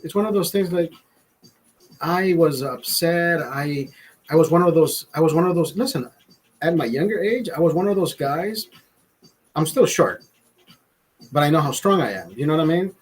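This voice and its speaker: High-voiced, rambling, profane man. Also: animated, moderate pace, pauses often, low-quality recording. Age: 40s. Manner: rambling, profane, repeats and restarts phrases; loud emphatic motivational rants. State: drinking beer, a bit tipsy. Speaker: livestreamer. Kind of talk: casual livestream chat.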